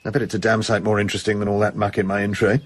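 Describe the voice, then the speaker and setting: An actor in a radio drama playing a jovial, chatty colonial official with an upper-class English accent. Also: male voice, fairly low pitch, flat delivery, rapid-fire, slightly rough. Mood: chatty, informative. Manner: chatty, old-school enthusiasm.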